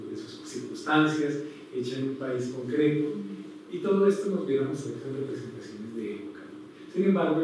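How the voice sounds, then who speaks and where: A man's voice: mid-range voice, dark tone, far from the mic, a little hiss or hum. Man lecturing in an echoey hall. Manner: thoughtful, full of fillers and restarts. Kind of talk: public lecture. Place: hall with strong echo.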